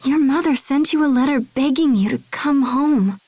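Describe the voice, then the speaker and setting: A woman's voice: high-pitched, normal speed, darkish tone, no room sound, lightly acted. A woman playing a polite, earnest young noblewoman in a video game, pleading formally for her homeland. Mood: concerned. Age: young adult. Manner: formal, courteous diction. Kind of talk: English video game voice acting.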